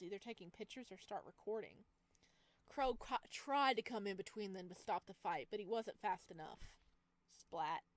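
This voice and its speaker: A woman reading a visual novel aloud in an expressive way, adding casual asides as a streamer. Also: mid-range voice, no echo. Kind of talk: lively read-aloud of a game with casual commentary.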